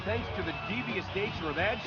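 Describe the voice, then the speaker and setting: A man's voice: sits high, a little fast, highs cut off, natural voice. A man as an earnest play-by-play wrestling commentator in a video game, calling things emphatically. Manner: emphatic, announcer-like. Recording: loud music behind the voice.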